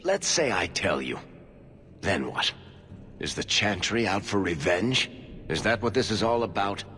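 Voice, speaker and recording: Low-voiced wry storyteller rogue. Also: male voice, sing-song, a little slow, exaggerated voice. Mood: wry, wary. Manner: wry, conversational. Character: wry, smooth-talking adult male storyteller rogue. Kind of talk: video game acting, dramatic storytelling. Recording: loud game music behind.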